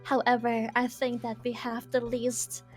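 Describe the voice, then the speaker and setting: A woman's voice: fairly high pitch, monotone, measured pace, faint music behind. A woman chatting casually on a livestream, giggly and hesitant with many fillers. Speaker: show guest.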